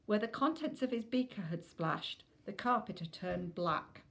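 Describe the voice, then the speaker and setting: A woman's voice: medium pitch, lively intonation, moderate pace, creaky, fairly bright tone. A woman reading a children's novel aloud as scripted narration.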